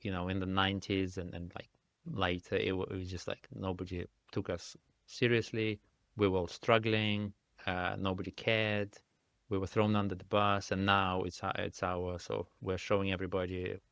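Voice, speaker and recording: Russian guy, english not his first language, slow and searching with lots of restarts, kinda low voice. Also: little pitch movement, vocal fry, a bit bright, smooth, dry recording. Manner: thoughtful, halting, many restarts and fillers. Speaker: indie game developer guest. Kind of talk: casual interview conversation. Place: lives in the UK.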